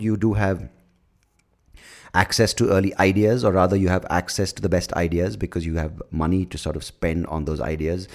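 A man's voice: on the low side, vocal fry. A man hosting his own podcast, talking alone in a blunt, profane, sarcastic way, rambling through asides and jokes. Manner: breaks into mocking shouts and laughs at his own jokes. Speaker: podcast host. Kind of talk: casual solo podcast monologue.

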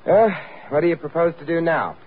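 A man's voice: a little high, lively intonation, brisk, natural voice. A man playing a suave, wry, confident adventurer-sleuth in 1940s radio style. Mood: cool, taunting. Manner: urbane, wry. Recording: tinny, noisy old radio recording.

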